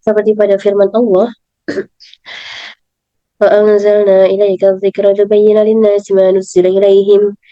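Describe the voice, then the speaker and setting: Young female student, Indonesian accent, hoarse and soft-toned, careful recited delivery; dull, roomy recording. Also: mid-range voice, completely flat delivery, normal speed, pauses often. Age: young adult. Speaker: university student. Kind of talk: reading aloud a study presentation. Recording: dull lo-fi, slightly echoing room.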